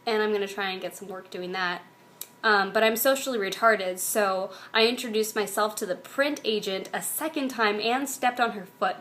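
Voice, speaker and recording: A woman's voice: mid-range voice, fairly bright tone. A young American woman chatting informally and self-deprecatingly in a casual diary-style vlog. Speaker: vlogger; actress. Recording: clear background noise, room ambience.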